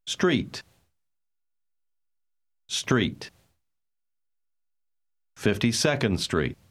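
A man repeating words and short phrases in a language course, deliberate and syllable by syllable. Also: fairly low pitch, sing-song, husky. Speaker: course dialogue speaker. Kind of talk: drilled word repetitions.

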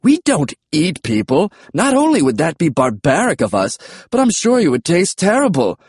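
Storybook pterodactyl, played by a male narrator: courteous British scholar, raised pitch, lively swoops. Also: moderate pace, slightly rough, no echo, clean studio sound, exaggerated voice. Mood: earnest, proper. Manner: courteous, prim. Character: polite, scholarly male pterodactyl, mildly put out.

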